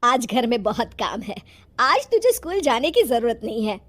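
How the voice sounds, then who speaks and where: A high-voiced, domineering old grandma acted by a woman from a moral storybook tale. She speaks with heavy emphasis and exclamations, like someone used to ordering the household around. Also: sing-song, moderate pace, a bit bright. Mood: scolding. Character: grumpy, scolding old grandmother who dotes on her grandson.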